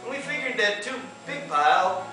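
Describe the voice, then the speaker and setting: A man's voice: high voice, moderate pace. Young man drawling a story over guitar. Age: young adult. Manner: drawling, deadpan storytelling with long lists. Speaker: student performer. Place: theater stage, live audience. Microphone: far camera. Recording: echoey theater from far off. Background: acoustic guitar strumming.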